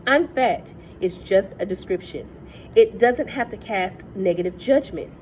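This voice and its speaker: A woman's voice: mid-range voice, lively intonation, unhurried, darkish tone, slight background noise, close to an everyday voice, poor audio. A woman voicing a confident teenage girl activist who speaks in a matter-of-fact, earnest way. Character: confident teen girl activist, self-assured.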